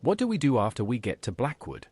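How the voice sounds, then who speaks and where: A man's voice: medium pitch, wildly swooping pitch, quick pace, a little mellow, slightly rough, no room sound. A man reading short scripted questions as the player character in a video game.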